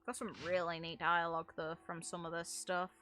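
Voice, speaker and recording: A woman's voice: fairly low pitch, little pitch movement, brisk, sharp tone. A woman giving casual commentary on game lore, thinking aloud in a rambling, musing way. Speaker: gaming video creator and streamer. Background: music.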